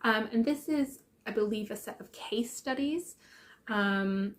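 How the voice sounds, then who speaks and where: A young adult woman with a congested, croaky voice from a sinus infection, chatting casually about books with many ums and self-corrections. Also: mid-range voice, unhurried. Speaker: book vlogger. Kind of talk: casual book chat.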